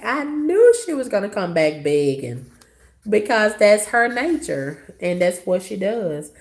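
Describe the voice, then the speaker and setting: A hoarse woman with a cough, telling a story to her viewers in a blunt, profane, dismissive way. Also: on the low side. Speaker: vlogger.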